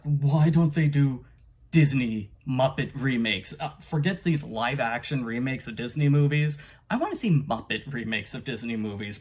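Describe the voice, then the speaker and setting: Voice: mid-range voice, breathy edge. Casual vlog-style book reviewer, unscripted and hesitant, rambles and trails off with small chuckles.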